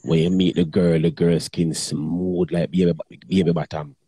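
A middle-aged man with a very deep, dark, husky voice talks bluntly and crudely in patois. The sound is dry and close. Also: little pitch movement, moderate pace, no room sound. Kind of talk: casual chat.

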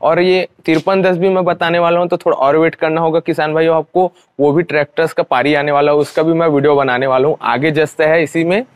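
A man's voice: on the high side, flat delivery, fairly quick, hardly any pauses, smooth, no echo, studio quality. A young man from India, giving an informal, repetitive and explanatory sales walkaround as a vlogger. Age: young adult. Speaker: vlogger presenting used tractors. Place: outdoors at a roadside tractor dealership.

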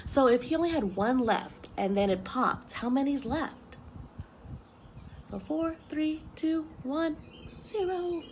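A woman in her thirties with a fairly high voice reads a picture book aloud to children. She is animated and breaks off for chatty asides and questions to her young listeners. It is a home recording made outdoors, with clear ambient noise behind her. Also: slow pace. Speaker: storyteller reading aloud to children. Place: outdoor wooden deck with trees around. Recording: noisy outdoor home video.

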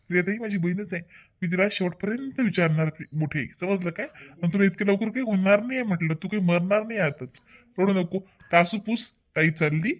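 A man voicing a loyal, protective young nephew in a family cartoon, insistent and repetitive, with a Varhadi Marathi accent. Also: sits high. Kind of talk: amateur animated family drama-comedy acting.

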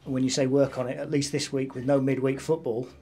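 A man's voice: mid-range voice, little pitch movement, brisk, mellow. A man interviewing a football manager after a match, posing conversational, leading questions. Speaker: club interviewer.

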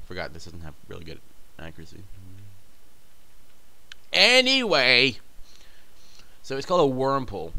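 Crude, rambling young guy. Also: fairly low pitch. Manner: rambling, crude, joking, excitable outbursts. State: stuffy nose. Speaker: gameplay commentator. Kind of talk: casual let's play commentary.

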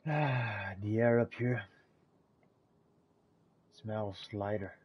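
A man's voice: fairly low pitch, very slow, husky, dull audio, full character voice. A man role-playing a musing, companionable fantasy adventurer in a video game, speaking in theatrical, archaic phrasing as he comments on his journey. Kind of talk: in-character gameplay commentary.

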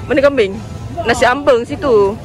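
High-voiced chatty Malaysian man at a market. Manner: chatty, playful, quick asides. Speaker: vlogger. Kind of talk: casual chat while filming. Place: crowded outdoor market. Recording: busy crowd behind.

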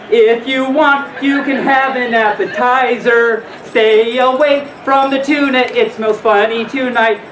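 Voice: mid-range voice, fairly bright tone. A storytime host reading aloud to a live audience in a playful way, with casual asides. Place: hotel function room at a convention. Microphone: distant camera microphone, speaker in a full mascot costume. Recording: roomy, distant, noisy camera audio.

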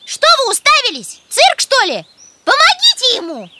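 Very high-pitched excitable cartoon piglet girl. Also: normal speed, noisy background, full character voice. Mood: bossy. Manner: dramatic, breathless storytelling. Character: carefree excitable young girl pig, a chatty storyteller. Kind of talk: children's cartoon voice acting.

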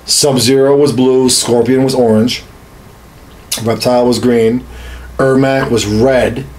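A man's voice: medium pitch, fairly even intonation, slow pace, darkish tone. Insistent man in a roomy, echoing space. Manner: foul-mouthed, insistent, argumentative. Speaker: podcast co-host. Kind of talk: casual chat about video games. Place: small indoor home studio room. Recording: roomy, echoing small room.

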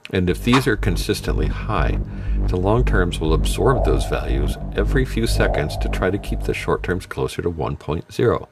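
A middle-aged man giving a scripted technical lesson in a steady, explanatory way with dry jokes. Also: deep voice, normal speed. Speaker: automotive tuning instructor. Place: dark studio set with purple lights. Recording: music bed underneath.

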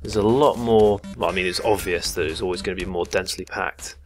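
A man's voice: low-pitched, fairly even intonation, fairly quick, soft background music. A man from England giving rambling, casual commentary while playing a video game, swearing now and then. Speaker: gaming YouTuber. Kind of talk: casual let's play commentary.

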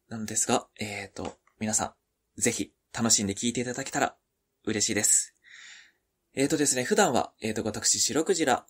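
Apologetic man with a mid-range voice. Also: mid-range voice. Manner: apologetic, humble, effusively thankful. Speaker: amateur streamer and voice actor. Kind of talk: informal cast comment to listeners.

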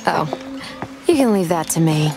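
Lively, sassy frontier woman. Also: deep voice, moderate pace, music in the background. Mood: breezy, teasing. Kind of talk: video game acting.

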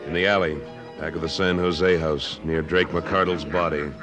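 A man's voice: low-pitched, little pitch movement, moderate pace, music in the background, lightly acted. An actor playing a tough, curt cattleman in an old radio western. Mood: stern. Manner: curt, commanding. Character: tough, terse western cattleman, former cavalryman. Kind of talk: 1950s radio western acting. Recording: vintage radio drama recording.

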